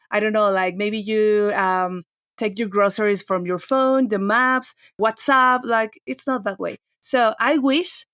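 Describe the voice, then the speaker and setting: A woman in her forties chats as a podcast guest, her voice sitting a bit high. She circles her points with fillers and restarts, sounding close and dry in the recording. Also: a bit bright, no echo. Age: 40s. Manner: chatty, many restarts and fillers like "like". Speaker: guest tech journalist. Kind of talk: casual podcast chat.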